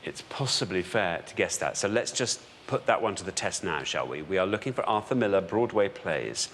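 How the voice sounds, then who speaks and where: A British man presenting a television quiz show, deliberate in his delivery and building suspense as he goes. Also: deep voice, animated, a bit bright, slight background noise. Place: television studio.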